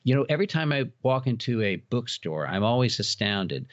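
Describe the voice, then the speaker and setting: A man's voice: mid-range voice, big pitch swings, a little fast, no echo, highs cut off. A middle-aged man chatting as an interview guest, explaining things like a keen enthusiast telling stories. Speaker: podcast guest, horror attraction and trading card company founder. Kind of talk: casual interview storytelling.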